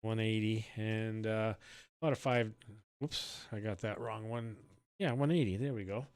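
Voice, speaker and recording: A man in his sixties or older chatting casually as an amateur radio operator, in a halting, rambling, folksy manner. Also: on the low side, clean tone, no room sound. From Canada. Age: 60s or older. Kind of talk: casual ham radio chat.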